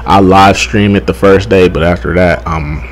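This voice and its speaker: A young man giving casual, rambling gaming commentary, halting, repeating himself and swearing freely. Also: deep voice, steady tone, slight background noise. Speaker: gaming YouTuber.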